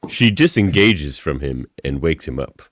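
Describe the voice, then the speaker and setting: Low voiced guy narrating a script, lively intonation, bit of noise behind. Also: unhurried. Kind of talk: scripted narration of stage directions.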